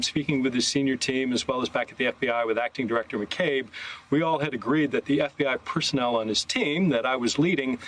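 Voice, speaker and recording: A man who is a former intelligence officer, answering interview questions in a measured, explanatory way. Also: mid-range voice, a bit bright, low-quality recording.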